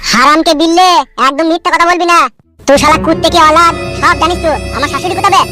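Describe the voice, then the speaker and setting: A high-voiced performer, heard as a woman, voicing an angry, foul-mouthed son-in-law in a comic cartoon. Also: fairly even intonation, fast, over loud music. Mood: angry, ranting.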